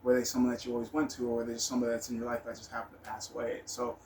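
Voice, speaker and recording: A man's voice: medium pitch, completely flat delivery, racing, a little mellow. A man telling personal stories in a casual, rambling monologue, blunt and candid, with some swearing. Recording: noticeable background noise.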